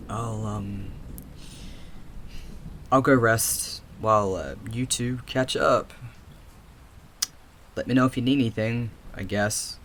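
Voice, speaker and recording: Man as a low, slow, brooding young man. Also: a bit bright, slight background noise. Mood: apologetic, awkward. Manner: hesitant, sighing, halting. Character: troubled young man with lost memories, brooding, awkward. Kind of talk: audio drama acting.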